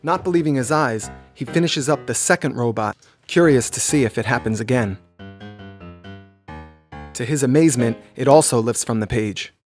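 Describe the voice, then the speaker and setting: A man's voice: mid-range voice, normal speed. A man reading a scripted children's story as its narrator. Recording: music behind the voice. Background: background music.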